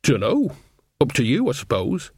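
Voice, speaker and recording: A man's voice: medium pitch, wildly swooping pitch, normal speed, darkish tone, slightly rough, dry recording, studio quality. A man as an earthy, fussing old witch. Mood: offhand. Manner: colloquial, scolding, motherly.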